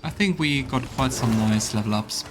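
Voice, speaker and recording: A man's voice: medium pitch, moderate pace, background noise. Man doing wry, casual game commentary. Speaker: game streamer. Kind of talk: casual live let's play commentary.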